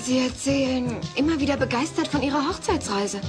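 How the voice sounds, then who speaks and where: High-voiced teenage sitcom daughter. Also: female voice, lively intonation, fairly quick, over music. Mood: calm, teasing. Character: level-headed seventeen-year-old daughter. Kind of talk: dubbed sitcom audio play acting.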